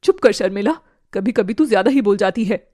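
A woman voicing a stern, bossy older mother-in-law in an animated family drama, scolding and sharp. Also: medium pitch, fairly quick, dry recording, voice pushed down, natural voice.

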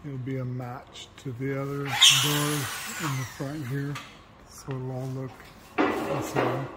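A man's voice: medium pitch, flat delivery, slow pace. A man walking through his renovated house, explaining the work in a casual, matter-of-fact way. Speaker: homeowner showing his renovation. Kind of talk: casual walk-through narration. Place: house under renovation, in and out of doors. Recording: echoey rooms with loud background noise.

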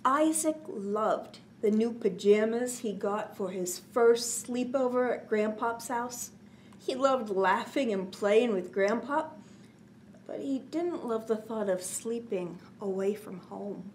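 A middle-aged woman hosting story time, reading to young children with expressive, simple phrasing. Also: a little high, slow, slight background noise. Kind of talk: children's read-aloud narration.

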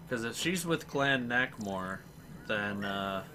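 A man's voice: lowish voice, lively intonation, takes it slowly. A man chatting casually and goofily over a video game, rambling a little as he comments. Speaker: gamer commentator. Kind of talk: casual joking let's play chat. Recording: faint noise under gameplay chat. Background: video game audio.

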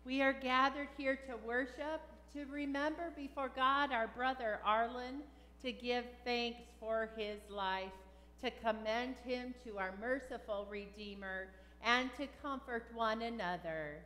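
A woman pastor reading formal funeral liturgy in a measured, solemn way. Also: on the high side, steady tone, sharp tone. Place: large church sanctuary. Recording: long church reverb.

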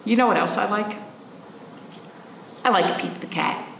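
Slow-paced, creaky-voiced woman leading children's storytime. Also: medium pitch, fairly bright tone. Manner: simple, encouraging questions addressed to young children. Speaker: children's librarian hosting storytime. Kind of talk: children's storytime talk and picture-book read-aloud. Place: small indoor room, camera a few feet away. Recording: roomy echo, faint background noise.

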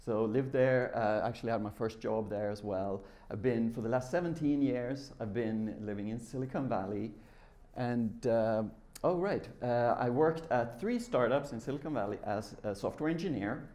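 A man's voice: mid-range voice, moderate pace, dark tone. A middle-aged man from Sweden, speaking informally and conversationally as he presents at a tech conference. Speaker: conference speaker, software developer. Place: large lecture room with a seated audience.

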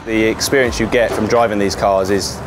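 20s male driver from the UK, low voice, composed, strong music bed. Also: fairly even intonation, fairly quick. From United Kingdom. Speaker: racing driver.

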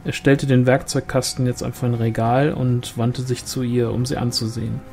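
A man's voice: medium pitch, flat delivery, normal speed, vocal fry, darkish tone, faint music behind. A man reading a novel aloud as scripted narration, in the manner of an audiobook. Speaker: audiobook reader.

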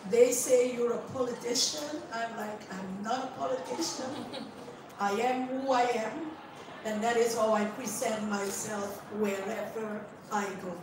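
A high-voiced, slow-paced woman from Suriname with a Surinamese accent, English not her first language, speaking earnestly into a handheld mic. Her voice rings through a very echoey seminar room, picked up from a distance with clear background noise. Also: fairly even intonation. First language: not English; Dutch learned in school. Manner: earnest, direct, speaks without notes. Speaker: guest speaker, ambassador. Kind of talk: unscripted public speech. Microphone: handheld microphone. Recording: echoey room, far from camera, noisy.

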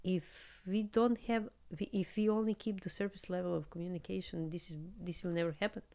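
Serbian-accented woman, 30s, low gravelly voice, candid and animated podcast guest, close studio mic. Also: fairly quick. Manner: candid, animated, laughs often. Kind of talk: casual interview chat. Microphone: studio mic on boom arm, headphones.

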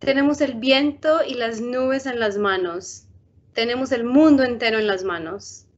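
Uma mulher de uns trinta anos, apresentadora de um programa infantil, lendo um livro ilustrado devagar e com calma numa chamada de vídeo. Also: female voice, on the high side, moderate pace. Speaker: outreach storytime host. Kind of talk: bilingual children's book reading. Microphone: laptop webcam on video call. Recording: muffled video call audio.

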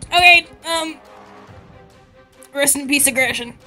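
A woman improvising a game roleplay in an excitable, bossy way, often shouting. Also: high voice, slow. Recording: background music.

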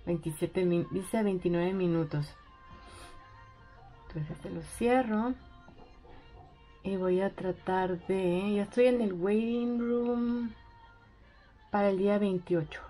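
A young woman chatting excitedly in a casual vlog, thinking aloud as she goes. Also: mid-range voice, slow pace, long pauses, faint music behind. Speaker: vlogger.

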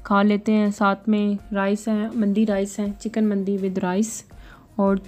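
A woman narrates her home vlog in a chatty, explaining way, her voice mellow and fairly flat in pitch. Soft music plays faintly beneath her words. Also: unhurried. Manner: chatty, explaining step by step, "जी" fillers. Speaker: vlogger. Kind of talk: casual home vlog voice-over, product review.

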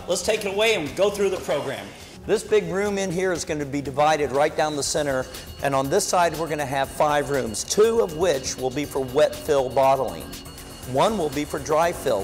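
Older man pitching to camera, reverberant room. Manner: folksy, salesy, plain-spoken. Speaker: chef and business owner. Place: commercial kitchen and office.

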